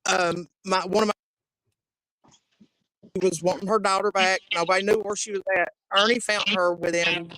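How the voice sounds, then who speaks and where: A woman's voice: medium pitch, steady tone, normal speed, long pauses, fairly bright tone, no echo, poor audio. A woman, talking bluntly and profanely on a live stream panel. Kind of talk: heated casual chat.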